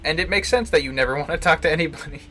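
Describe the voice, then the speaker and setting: A man giving casual live playthrough commentary, wry and halting, often restarting his phrases. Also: a little high, sharp tone, soft background music. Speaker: streamer playing a visual novel.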